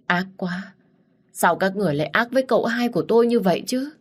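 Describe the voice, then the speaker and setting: A woman's voice: mid-range voice, animated, fast talker, pitched above the performer's own voice, lightly acted. A woman voicing a tearful older housekeeper who laments and scolds through her crying. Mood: lamenting.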